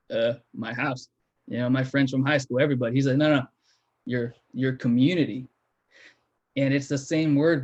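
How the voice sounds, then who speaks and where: A man's voice: medium pitch, darkish tone. A man co-hosting a reading, giving reflective opening remarks over a video call. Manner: reflective, storytelling. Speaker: co-host of the reading series. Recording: dull lo-fi video call audio.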